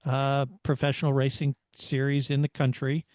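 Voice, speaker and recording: A man's voice: medium pitch, completely flat delivery, moderate pace, a little mellow, no room sound. American man, casual podcast analysis, dry close sound. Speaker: motorsport podcast co-host. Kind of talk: casual race analysis chat.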